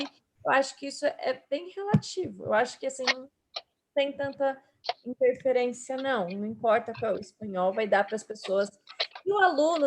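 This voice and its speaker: Brazilian woman, São Paulo accent, slightly high voice, loose conversational delivery, video call. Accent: Brazilian Portuguese, São Paulo. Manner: conversational, thinking aloud, many fillers. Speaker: panelist, Portuguese teacher. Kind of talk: casual discussion on a video call.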